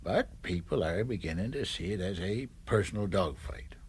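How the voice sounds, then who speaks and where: An actor playing an anxious party fixer in a radio drama, with a husky voice and a hesitant, pleading, cajoling manner. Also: male voice, sits low, animated, moderate pace, a little hiss or hum.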